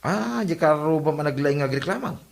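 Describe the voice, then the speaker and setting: A man's voice: on the high side, animated, a little fast, vocal fry. A man playing an easygoing, bantering big-brother street sweeper in an Ilocano comedy drama.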